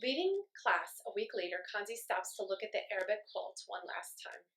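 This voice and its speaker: A woman, a preschool teacher whose first language is Italian, reading a story aloud and talking gently to young children. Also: mid-range voice, sing-song, gravelly. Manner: slow, gentle, encouraging talk to children.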